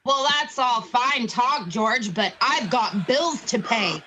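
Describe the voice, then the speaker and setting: A woman's voice: on the high side, lively intonation, slow, bright, forceful tone, heavily acted. A woman playing a stubborn, indignant townsperson in a radio play, blunt and insistent. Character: stubborn, indignant townsperson demanding savings back.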